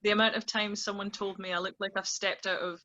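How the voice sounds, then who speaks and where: A Scottish woman chats as an interview guest, quick to laugh softly at herself. Her voice is bright and forceful. The audio comes through dull and muffled. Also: mid-range voice, level tone. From Scotland. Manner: soft laughs, easygoing and self-deprecating. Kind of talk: casual interview chat.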